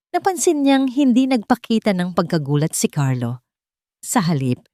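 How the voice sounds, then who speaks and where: Narrator for audio love drama: woman, Filipino accent, low register, dry studio-like sound, scripted dramatic read. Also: darkish tone, dry recording.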